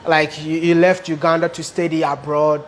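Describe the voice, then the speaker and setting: A man asking interview questions, curious and probing with quick follow-ups. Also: a little high, little pitch movement, slight background noise.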